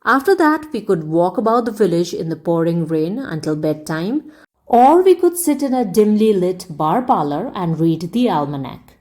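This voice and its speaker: Woman reading aloud, mellow and lively. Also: fairly low pitch, moderate pace. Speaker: storyteller. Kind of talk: audiobook-style read-aloud narration.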